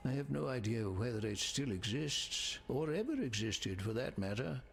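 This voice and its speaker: Gravelly, bookish scholar. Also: male voice, mid-range voice, very animated, soft background music. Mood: thoughtful, skeptical. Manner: measured, bookish, formal. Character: learned, measured male scholar in a fantasy world. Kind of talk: video game dialogue acting.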